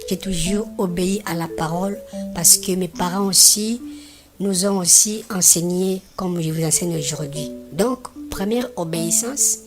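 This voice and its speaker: A woman voicing a kindly, devout grandmother who patiently teaches her grandchildren in an animated religious film. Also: on the low side, measured pace, over music. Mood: patient teaching. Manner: patient, instructive, often checks understanding.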